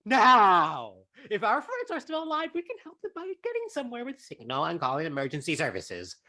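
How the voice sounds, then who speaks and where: A woman's voice: mid-range voice, huge pitch swings, brisk, sharp tone. A woman playing a scared but pragmatic young camper in a staged reading, speaking insistently. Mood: urgent pleading.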